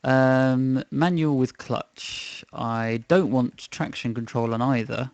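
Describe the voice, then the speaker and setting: A man with a croaky voice chatting casually while setting up and driving in a racing game on a live stream, thinking aloud as he goes. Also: mid-range voice, slow, darkish tone, no room sound, highs cut off. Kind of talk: casual gaming stream chat. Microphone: desk microphone.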